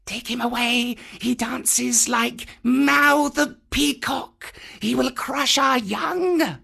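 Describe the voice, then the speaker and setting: A man reading a storybook aloud, voicing hostile cobras in a raised, slow voice. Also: extremely high pitch, creaky, pushed and bright, husky, voice pushed up, full character voice. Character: hissing fearful cobras, hostile.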